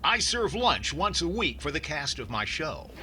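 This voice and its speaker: A man playing a fussy, penny-pinching, exasperated radio comedy star with deadpan, defensive delivery in classic sitcom style. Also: on the high side, normal speed. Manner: deadpan, put-upon, defensive. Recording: very noisy recording with ambience.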